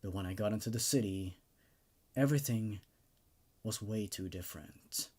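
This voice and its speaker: A man's voice: fairly low pitch, moderate pace, darkish tone. A man hosting horror story readings, speaking in a dramatic, emphatic way as he narrates and gives his take. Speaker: host narrating and reviewing horror stories. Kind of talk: dramatic horror narration and casual enthusiastic review.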